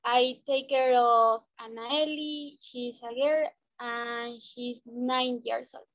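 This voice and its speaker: A woman's voice: a little high, fairly even intonation, takes it slowly, fairly bright tone. A young woman from Ecuador with an Ecuadorian Spanish accent, a native Spanish speaker answering interview questions in English, hesitant and full of fillers. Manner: hesitant, many fillers and restarts. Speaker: interviewee, university student. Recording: dull lo-fi sound.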